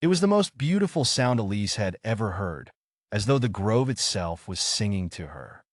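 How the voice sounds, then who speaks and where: A man narrating a scripted bedtime story as a storyteller, in an expressive, theatrical manner. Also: fairly low pitch, moderate pace, no echo, clean studio sound.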